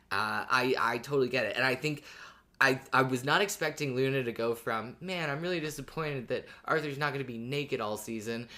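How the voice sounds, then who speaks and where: A woman's voice: a bass voice, a bit bright. A woman co-hosting a casual podcast discussion, amused and feeling her way through her phrases. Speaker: podcast co-host. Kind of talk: casual fan discussion chat.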